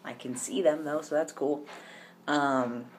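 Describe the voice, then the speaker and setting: A man's voice: fairly high pitch, fairly even intonation, measured pace, a little hiss or hum. A young man chatting casually to camera in a personal vlog, rambling with lots of ums and restarts. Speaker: vlogger.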